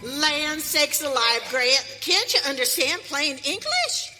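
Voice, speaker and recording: A woman plays a bossy, proud pioneer woman with a frontier in a live radio drama. She speaks slowly in a high, bright, forceful voice that rings through a hall. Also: very animated, faint music behind, exaggerated voice. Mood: triumphant. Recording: reverberant hall, faint background noise.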